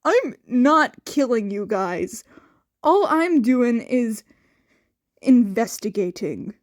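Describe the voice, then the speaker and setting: A woman's voice: a little high, very animated, slow, no echo. A woman voicing a sardonic, evasive young man in a dry, casual way for an audio story.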